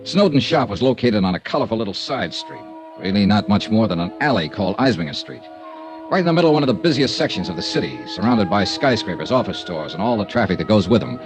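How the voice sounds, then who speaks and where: A man's voice: lowish voice, animated, fast talker, over music, close to an everyday voice, poor audio. An actor playing a wry, hard-boiled male insurance investigator, narrating and talking in a 1950s radio drama. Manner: laconic, wry first-person narration.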